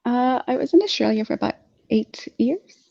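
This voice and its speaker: A woman's voice: on the high side, lively intonation, normal speed, vocal fry, darkish tone, breathy edge. A young woman from Tanzania, talking in a casual interview over a video call, hesitant with many fillers and restarts. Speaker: guest speaker. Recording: dull lo-fi video call audio.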